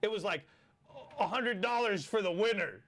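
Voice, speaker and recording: Man, 40s, Lebanese accent, slightly high voice, animated storytelling, lots of swearing. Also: moderate pace, background noise. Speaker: battle rapper guest. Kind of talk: casual chat.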